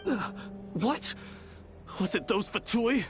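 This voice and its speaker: A stammering townsman with a lively, bouncing voice, game music behind. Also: high voice, takes it slowly. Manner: stammering, scoffing. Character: flustered townsman, cynical about others. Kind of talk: video game cutscene voice acting.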